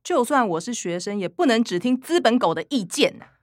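Higher-voiced, stubborn student. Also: female voice, sing-song, a little fast, no room sound, studio quality. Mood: defiant. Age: young adult. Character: naive, defiant young university student daughter. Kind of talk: radio drama acting.

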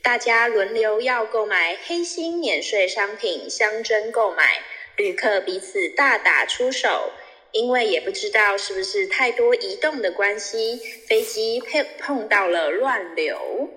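A woman playing a blunt, money-grubbing airline attendant with a deadpan, businesslike manner in a comic audio drama. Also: mid-range voice, measured pace. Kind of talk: scripted comic announcement. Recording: reverberant hall with music.

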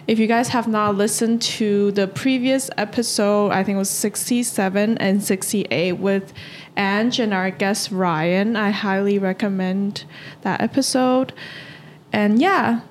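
A woman's voice: mid-range voice, measured pace, a little hiss or hum. A young woman from Taiwan hosting a podcast, talking casually and hesitantly with lots of "kinda, like.". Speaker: podcast host.

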